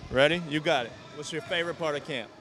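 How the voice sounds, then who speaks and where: A man's voice: on the high side, fast, fairly bright tone. A young man reporting from a gym, interviewing kids with a handheld mic in an upbeat, encouraging way. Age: young adult. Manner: upbeat, encouraging, repeats short affirmations. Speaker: TV sports reporter. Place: large indoor gym. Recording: noisy gym with bouncing balls.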